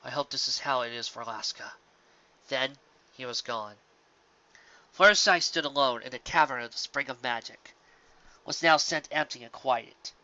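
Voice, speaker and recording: Bright-voiced amateur fiction reader, lo-fi recording. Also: medium pitch, no echo. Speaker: fan fiction reader and reviewer. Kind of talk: dramatic story reading and informal commentary. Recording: dull lo-fi sound.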